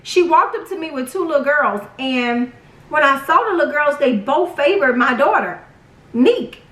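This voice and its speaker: A young woman with a high voice tells a personal story in a casual, dramatic way. She acts out quotes as she goes. The sound is roomy and distant from the mic. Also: normal speed. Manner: animated, dramatic retelling with acted quotes. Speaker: vlog storyteller.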